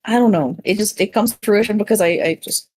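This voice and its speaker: A woman chatting casually as a podcast panelist, halting and repeating her words in a self-effacing way. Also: mid-range voice, darkish tone, a little hiss or hum. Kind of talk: casual chat.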